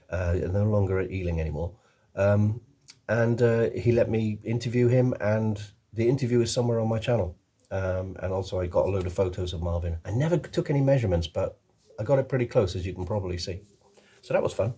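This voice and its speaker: A middle-aged man with an accent somewhere between English and Welsh, chatting casually about his projects. His voice is deep, mellow and slightly husky. He rambles freely and fills his pauses with ums and restarts. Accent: mix of English and Welsh. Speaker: author, illustrator and voice actor. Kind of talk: casual video chat to camera.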